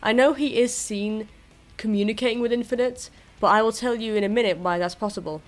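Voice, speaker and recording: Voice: mid-range voice, a little fast. A YouTuber presenting fan theories in a casual, self-deprecating commentary addressed to viewers. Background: music.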